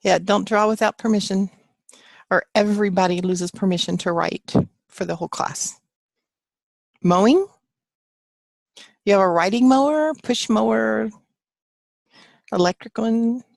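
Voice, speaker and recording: Gravelly-voiced woman teaching an online class. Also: medium pitch, a little slow, long pauses, no room sound. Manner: chatty, encouraging, reads student answers aloud. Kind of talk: live online class, chatting with students. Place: home office. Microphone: computer mic on a video call.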